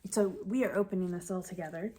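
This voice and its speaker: A woman's voice: lowish voice, fast talker, dark tone, a little hiss or hum. A woman vlogging casually about knitting, sniffly from the cold, chatting in a rambling way that corrects itself as she goes. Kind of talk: casual chat to camera.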